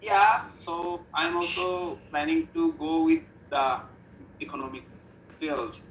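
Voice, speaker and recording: A man's voice: fairly high pitch, animated, takes it slowly, a bit bright, highs cut off, a little hiss or hum. A young man in his twenties with a Bangladeshi English accent, answering practice questions hesitantly and at length. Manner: hesitant, long searching answers. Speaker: practice student. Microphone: video call. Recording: echoey room on a call.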